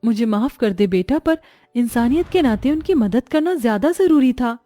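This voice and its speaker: A woman playing a kind village mother and wife in a cartoon story, speaking in a gentle, earnest way. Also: a little high, lively intonation, moderate pace, no room sound, soft background music, close to an everyday voice. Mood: gentle, caring.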